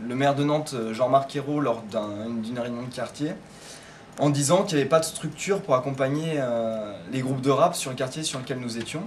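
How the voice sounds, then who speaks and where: A man's voice: medium pitch, brisk, a little hiss or hum. A young man, a law student and rapper, recounting how his group got support, fluent with some fillers. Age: young adult. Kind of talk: testimonial interview.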